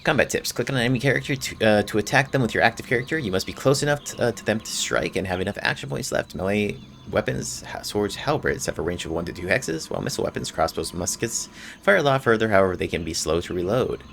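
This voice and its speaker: Low, creaky-voiced man doing gameplay commentary. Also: animated, fast, few pauses, a bit bright, slight background noise. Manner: informal. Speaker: gameplay video host. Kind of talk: casual gameplay commentary, reading game text aloud. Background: faint game music.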